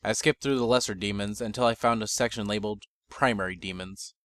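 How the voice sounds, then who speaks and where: A man reading a first-person fantasy story as narrator, in a measured, bookish dramatic reading. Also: fairly low pitch, fairly bright tone, dry recording.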